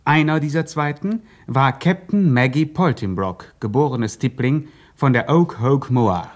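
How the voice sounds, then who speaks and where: A man narrating a satirical radio play, scripted and deadpan, with a mock-official manner. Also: mid-range voice, unhurried.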